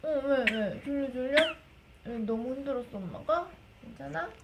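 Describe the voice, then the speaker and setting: A woman's voice: sits high, animated, very fast, very noisy. A young woman, a native Korean speaker with a Korean accent, reading a storybook aloud to her baby and chatting to the child in between. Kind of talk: storybook read-aloud with informal baby talk.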